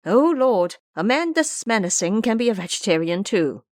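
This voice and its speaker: A woman's voice: medium pitch, big pitch swings, normal speed, a little mellow, no echo, clean studio sound, voice pushed up, lightly acted. A woman voicing a frightened, obsequious poor male monk who pleads, in an audio drama. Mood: awed, cautious. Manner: pleading, explanatory.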